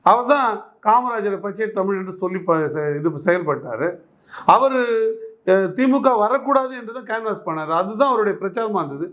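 A man speaks in a notably high-pitched voice. He comments on politics as an interviewee, pressing his case with emphatic repetition and pointed rhetorical questions. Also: animated, normal speed, fairly bright tone, muffled recording. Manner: argumentative, emphatic, repeats phrases and poses rhetorical questions.

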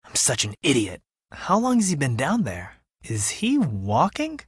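Animated, emotional young man. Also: medium pitch, measured pace, husky, no room sound, clean studio sound, exaggerated voice. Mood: hurt, regretful. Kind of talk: video game voice acting.

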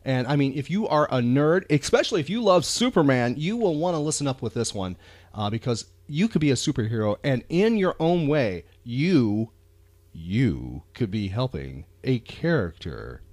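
A man's voice: mid-range voice, big pitch swings, normal speed, a little mellow, dry recording. A man hosting a chatty pop culture podcast, talking with enthusiasm and emphasis, often repeating phrases for effect. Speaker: podcast host.

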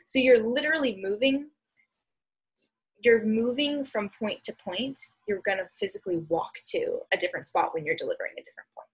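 A woman's voice: on the high side, animated, a little fast, husky, rough recording. A young adult American woman giving an informal lesson over slides, explaining hesitantly with trailing asides, recorded on a computer mic at home. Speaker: speech and debate instructor. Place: small room at home, indoors. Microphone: computer mic on a video call.

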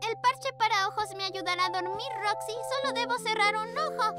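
A woman voicing a sweet, excitable little girl pug puppy in a children's cartoon, childlike and expressive. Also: very high voice, brisk. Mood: sleepy, content. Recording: music bed behind the voice.

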